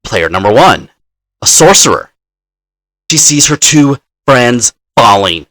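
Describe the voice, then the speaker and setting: A man's voice: medium pitch, sing-song, takes it slowly, a bit bright, no echo. A man hosting as a dungeon master, telling the story of a game session in an explanatory way and acting out players' lines.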